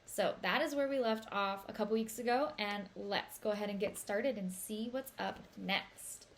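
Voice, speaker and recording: A woman reading a children's novel aloud in an expressive storytelling style. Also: medium pitch, moderate pace, a little hiss or hum. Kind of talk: expressive read-aloud narration.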